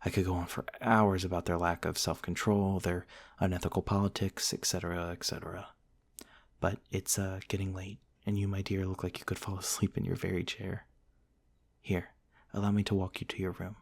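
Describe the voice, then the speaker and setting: A man voicing a courteous, gentle, old-fashioned vampire in an ASMR roleplay, speaking in formal, polite and slightly hesitant phrases to the listener. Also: deep voice, level tone, brisk, creaky. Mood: gentle, teasing. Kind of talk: ASMR roleplay, soft-mannered dramatic monologue to the listener.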